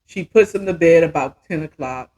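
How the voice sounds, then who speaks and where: A woman's voice: deep voice, normal speed, mellow, poor audio. A woman in her fifties telling a story casually as a podcast host, chatty and emphatic, often checking in with the listener. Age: 50s.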